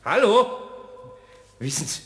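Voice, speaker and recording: Old bavarian craftsman in a radio play, highish voice, stammers n grumbles, long reverb. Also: very animated, slow, exaggerated voice. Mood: flustered, evasive. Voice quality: stammering when flustered. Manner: rambling, homely dialect speech. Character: flustered, good-hearted older male craftsman, grumbling and pleading. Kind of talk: German radio play acting.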